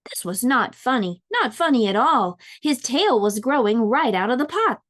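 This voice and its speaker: A woman reads a storybook to young children in a fairly high voice. Her narration is expressive and deliberate, stretching key words for drama, the way a preschool teacher would. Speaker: pre-K teacher reading a storybook aloud.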